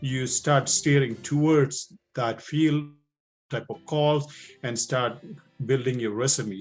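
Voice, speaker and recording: A middle-aged man with a thick Indian accent talks in a loose, chatty way as a police officer answering questions at a recruiting session. His voice sits at an ordinary middle pitch. He often pauses on "uh" and restarts a sentence, and faint music plays behind him. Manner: conversational, many fillers and restarts. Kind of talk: informal Q&A talk.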